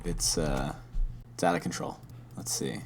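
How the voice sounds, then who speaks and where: A man's voice: rumbling low, steady tone, unhurried, gravelly, a little hiss or hum. A man co-hosting a podcast in casual chat, teasing his partner and laughing a lot. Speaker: podcast co-host.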